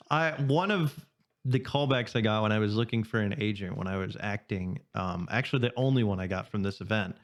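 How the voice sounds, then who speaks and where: A man's voice: fairly low pitch, normal speed. A young man co-hosting a podcast, chatting casually and rambling with half-finished sentences and laughs. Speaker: podcast co-host. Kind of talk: casual chat.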